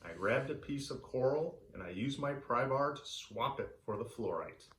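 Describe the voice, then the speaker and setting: A man reading a story aloud for children in an animated, theatrical way. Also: mid-range voice, normal speed, reverberant, a little hiss or hum. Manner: animated, theatrical delivery for young listeners. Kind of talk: expressive read-aloud storytelling.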